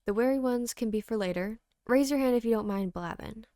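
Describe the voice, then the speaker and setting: A woman's voice: on the high side, fairly quick, mellow, clean tone, dry recording, clean studio sound, lightly acted. A woman voicing a laid-back adult male policeman in a video game, with casual, clipped phrasing. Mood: breezy.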